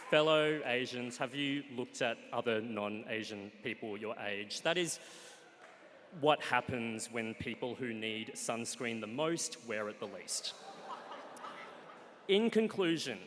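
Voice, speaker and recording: Wry Australian man on stage, lively pitch. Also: slight background noise. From Australia, Sunshine Coast, Queensland. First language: Cantonese. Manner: wry and playful, with deadpan punchlines and crude jokes. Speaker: guest storyteller. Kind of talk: comic personal storytelling on stage. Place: stage in a live event hall with an audience. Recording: reverberant live hall.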